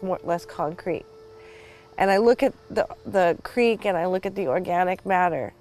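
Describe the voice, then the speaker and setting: A woman speaking earnestly and haltingly in a documentary interview, reflecting on loss and the land. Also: on the low side, darkish tone. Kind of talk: reflective personal testimony.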